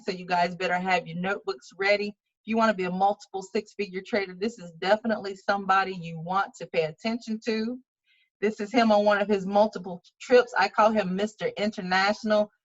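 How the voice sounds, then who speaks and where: A middle-aged woman hosts the show and introduces her guest with eager, playful enthusiasm. Her pitch sits in a middle range for a woman, and the sound is dull and lo-fi. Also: fairly bright tone. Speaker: host. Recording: muffled lo-fi audio.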